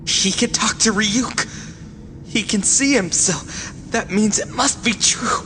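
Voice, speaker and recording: A man's voice: high voice, slow pace, noisy background. An actor in an anime fan dub playing an arrogant young male villain, cornered and frantic. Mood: shocked.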